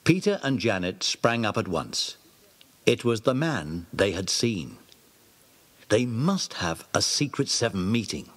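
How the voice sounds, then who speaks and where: A male narrator telling a children's story for an audio drama. His voice is husky, and his pitch swings very widely as he narrates with animation. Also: sing-song, measured pace.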